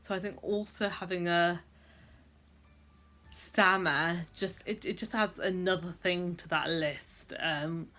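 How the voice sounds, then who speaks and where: A woman's voice: fairly low pitch, fairly even intonation, takes it slowly, bright, forceful tone, faint music behind. A young adult woman who stammers, talking reflectively to camera in a personal vlog, with frequent fillers and repeated sounds. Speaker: vlogger.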